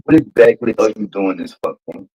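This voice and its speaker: A man's voice: lowish voice, expressive, a little fast, dark tone, breathy edge, poor audio. A man in his late thirties with a New Orleans accent, hosting a podcast and asking questions in a laid-back way. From New Orleans, USA. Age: 30s. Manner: laid-back, repeats "you know what I'm saying". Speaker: podcast host. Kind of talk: casual interview questions.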